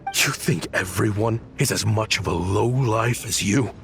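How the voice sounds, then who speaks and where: A man's voice: on the low side, a little slow, gravelly, fairly bright tone, soft background music. A man playing a bitter, guilt-ridden weapons researcher in a video game, with a grave, brooding, dramatic delivery. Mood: bitter, scornful.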